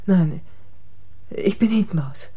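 Radio drama, timid frightened woman: husky, slow, hesitant, with a swooping pitch. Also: animated, mellow. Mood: anxious. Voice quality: breathy, husky. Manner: halting, short uncertain replies. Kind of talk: radio play dialogue.

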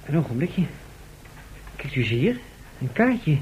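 An actor playing a sober, observant and wary gentleman in a radio drama, speaking in a measured, matter-of-fact way. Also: a little high, big pitch swings. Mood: grave reading. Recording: dull, faintly noisy old radio recording.

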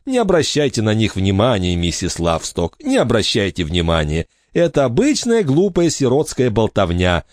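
A man voicing a nervous, fawning woman who runs an orphanage and flatters her guest. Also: lowish voice, moderate pace, few pauses, no room sound, studio quality. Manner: simpering, ingratiating.

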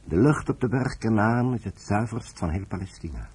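A man's voice: on the low side, lively intonation, normal speed, a little mellow, breathy edge. A man acting an older retired British general in a Dutch radio play, dry, stubborn and ironic. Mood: reflective.

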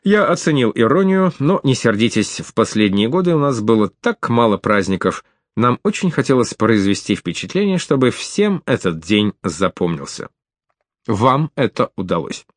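A man's voice: mid-range voice, big pitch swings, moderate pace, no echo, lightly acted. A man reading the lines of a polite, gallant man in his thirties in an audiobook. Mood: welcoming. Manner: polite, smooth, smiling. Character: gallant man of thirty-five, courteous host.

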